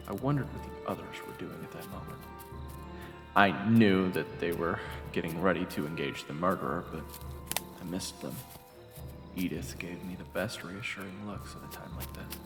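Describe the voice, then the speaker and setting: A man giving dramatic first-person scripted narration for an audio story, as its narrator. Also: low-pitched. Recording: echoey long reverb, music behind. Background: background music.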